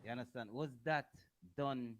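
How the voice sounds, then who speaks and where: A man co-hosting a podcast, asking excited, slangy questions that trip over themselves. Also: mid-range voice, normal speed, slight background noise. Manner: excited, slangy, repeats himself. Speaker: podcast co-host. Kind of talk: casual interview chat.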